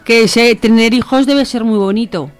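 A woman's voice: fairly high pitch, normal speed. A woman with an accent from Spain chatting casually and bluntly in a colloquial way during a group discussion. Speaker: discussion participant. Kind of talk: casual chat. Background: faint music.

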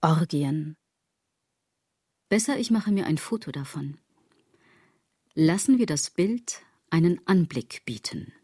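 An actress playing a sardonic, restless woman in a radio drama, lecturing the listener with emphatic irony in a husky voice. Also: sits low, animated, slow pace, pauses often, warm tone, full character voice.

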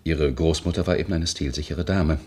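A smarmy broker in a radio mystery, with a very low, rough-edged voice. He is stiffly polite, hesitant and ingratiating, as if hiding his motives. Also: fairly even intonation, brisk, darkish tone. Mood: insinuating. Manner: stilted politeness, hesitant, oily. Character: smarmy, ingratiating male real estate broker with hidden motives. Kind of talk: German radio crime drama acting.

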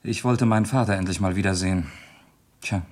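Husky, polite bereaved son. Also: male voice, deep voice, a little fast, a little mellow. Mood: subdued, pleading. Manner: polite, hesitant, pleading. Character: polite, insistent bereaved son visiting town. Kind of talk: German radio crime drama acting.

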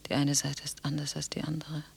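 An actress playing an intense, possessively affectionate sister in a radio drama, effusive and repetitive, moving between husky whispers and cries. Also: female voice, low voice, completely flat delivery, fairly quick, exaggerated voice. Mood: hushed, brooding. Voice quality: breathy, husky, often whispering.

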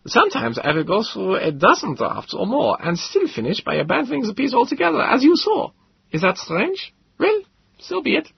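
A man voicing a thin, fragile, eccentric male professor in his thirties with a light German accent, excitable and rambling. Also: extremely high pitch, normal speed, vocal fry, breathy edge, dry recording, pitched above the performer's own voice, heavily acted. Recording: dull lo-fi recording.

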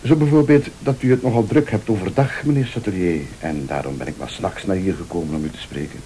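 An actor playing a mysterious, composed late-night visitor in a radio thriller, speaking formally and pointedly with quiet menace. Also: male voice, deep voice, fast, a little hiss or hum, lightly acted. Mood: polite, insinuating. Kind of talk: Flemish radio thriller acting.

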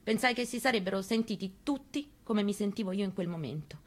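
A woman reading a memoir aloud in a radio studio. She tells it in the first person, in a quiet and measured way. Her pitch is mid-range. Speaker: reader.

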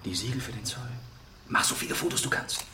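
An actor playing a driven, excitable German reporter in a radio drama, urgent and commanding. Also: male voice, fairly low pitch, fairly quick, recorded from a distance, lightly acted. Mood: determined. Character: driven, excitable German male reporter chasing stolen treasure. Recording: noisy, echoey, off the microphone.

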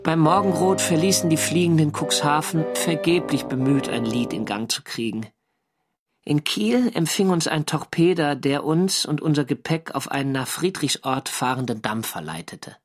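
A man performs a First World War sailor telling his diary in a radio play. His voice sits a little high for a man. He speaks in a measured, clearly articulated way, with a dry, wry storyteller's tone. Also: faint music behind, close to an everyday voice. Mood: dry recounting. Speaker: narrator and actor in audio drama. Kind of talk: literary diary narration, radio drama.

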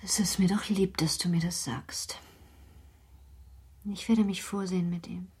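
An actress playing an uneasy young wife of the nineteenth century in a German radio play, speaking in a hushed, pensive, formal way. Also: female voice, lowish voice, steady tone, measured pace, husky. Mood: guarded, hushed.

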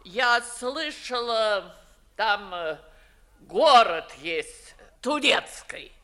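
Slow, grumbling old Moscow grande dame. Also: female voice, a little high, lively intonation, bright, forceful tone, exaggerated voice. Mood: grumbling, imperious. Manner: theatrical, declamatory, drawn-out grumbling. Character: imperious grumbling 65-year-old Moscow noblewoman, blunt old aunt. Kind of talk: 1950s Russian classical stage acting.

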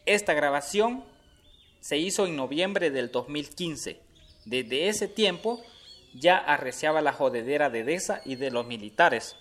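A man's voice: a little high, animated, a little slow, a bit bright. An actor playing an earnest male Honduran activist in a radio drama, chatting in a colloquial way. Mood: admiring, earnest. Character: Juancho, man activist chatting earnestly with a comrade. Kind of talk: scripted radio drama dialogue, conversational. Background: plucked guitar music.

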